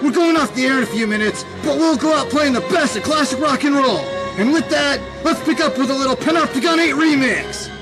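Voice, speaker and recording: A man's voice: extremely high pitch, brisk, few pauses, rough recording. A man acting an earnest, urgent rebel radio DJ in a science fiction audio drama. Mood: tense, defiant. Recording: music behind the voice.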